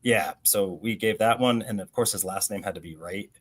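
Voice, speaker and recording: A man's voice: on the low side, moderate pace, husky. A man talking sports as a co-host on a video call, in a conversational way with rhetorical questions thrown in. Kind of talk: casual sports discussion over video call.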